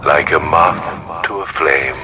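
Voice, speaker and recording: Very deep, ominous villain mastermind. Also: male voice, steady tone. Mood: menacing. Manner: slow, ominous, deliberate delivery. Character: menacing male villain mastermind, calm, theatrical, preaching fear. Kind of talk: audio drama acting. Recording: heavy background noise.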